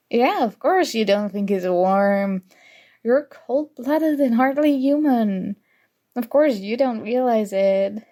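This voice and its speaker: A woman playing a flustered, teasing young student rival in an intimate ASMR roleplay, soft-spoken, mock-annoyed and hesitant. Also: fairly high pitch, vocal fry, full character voice. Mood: flustered, defensive.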